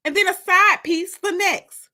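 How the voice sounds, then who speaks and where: Woman acting a stubborn, wounded young woman, bright voice. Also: very high voice, measured pace, voice pushed up, exaggerated voice. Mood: indignant. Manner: defiant, indignant. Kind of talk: dramatic audiobook reading.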